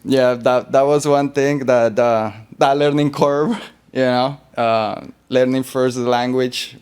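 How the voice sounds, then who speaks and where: A man from Colombia, a guest artist in an interview, talking in a relaxed, rambling way with fillers. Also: mid-range voice, slow, clean tone. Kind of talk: interview conversation.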